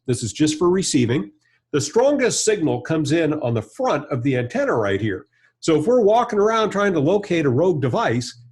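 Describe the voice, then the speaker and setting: A man's voice: on the high side, darkish tone. An American man in his fifties giving a hands-on product tutorial as a trainer, in a plain-spoken, conversational, explanatory way. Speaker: trainer and presenter. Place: indoor studio or workshop with backdrop and bench.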